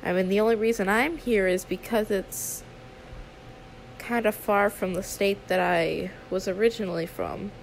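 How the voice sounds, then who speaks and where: Woman acting creaky-voiced, friendly young YouTuber. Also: mid-range voice, fairly even intonation, normal speed, a little hiss or hum, close to an everyday voice, low-quality recording. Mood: friendly, hesitant. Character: friendly, trusting young female YouTuber meeting a fan. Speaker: roleplay audio performer. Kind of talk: ASMR roleplay, conversational acting.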